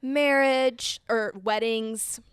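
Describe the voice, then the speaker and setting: A woman's voice: a little high, fairly even intonation, drawn-out words, clear, resonant tone, no room sound, clean studio sound. A young woman co-hosting a casual podcast, chatting freely with many fillers. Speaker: podcast co-host. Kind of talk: casual chat.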